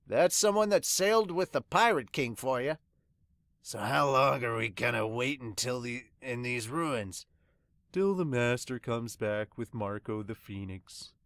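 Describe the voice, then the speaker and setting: A podcaster acts out a gruff pirate grunt from a manga dub. The warrior grumbles bluntly and teases comrades, in a dry, close recording. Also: mid-range voice, no echo, voice pushed down. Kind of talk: amateur manga dub character acting.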